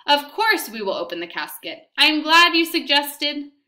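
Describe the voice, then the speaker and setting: A woman voicing a calm, quick-witted mother in a read-aloud story, turning falsely cheerful and high. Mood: mock-sweet.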